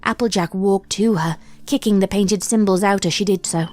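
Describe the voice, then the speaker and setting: A woman reading a dark fanfic aloud in a measured, deliberate storytelling manner. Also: mid-range voice, dry recording, soft background music. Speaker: fanfic reader. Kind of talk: dramatic story narration.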